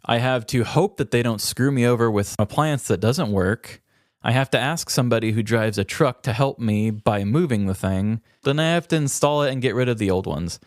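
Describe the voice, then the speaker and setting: A man's voice: medium pitch, a little fast, no room sound, studio quality. A man telling stories casually as a podcast co-host, with wry, self-deprecating humor. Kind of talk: casual comedic storytelling chat.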